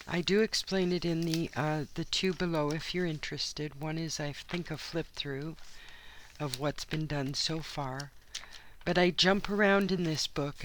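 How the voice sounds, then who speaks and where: A hoarse woman hosting a craft channel, chatting in a rambling way with plenty of asides and fillers from her home craft studio. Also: low-pitched, a bit bright, slight background noise, rough recording. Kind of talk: casual chat while crafting.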